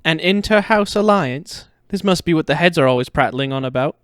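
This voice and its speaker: Smirking, high-voiced teenage schoolboy played by a man. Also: expressive, brisk, fairly bright tone, no room sound, studio quality. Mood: mocking. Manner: droll, teasing. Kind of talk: fan fiction audio drama acting.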